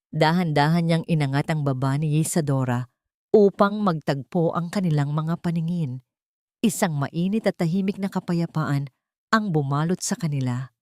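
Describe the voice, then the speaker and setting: A woman's voice: deep voice, warm tone, no room sound, clean studio sound. A woman with a Filipino accent narrating a scripted dramatic story as an audiobook narrator.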